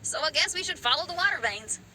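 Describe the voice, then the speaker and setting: A woman's voice: high-pitched, animated, fast, noisy background. A woman voicing an upbeat young rock musician with a rebellious spirit, who laughs easily. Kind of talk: video game dialogue.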